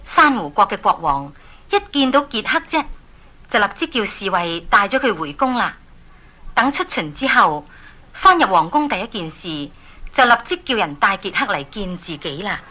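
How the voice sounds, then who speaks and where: A woman with a Hong Kong accent narrating a Cantonese children's fairy tale in an expressive storytelling manner. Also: medium pitch, normal speed. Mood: animated.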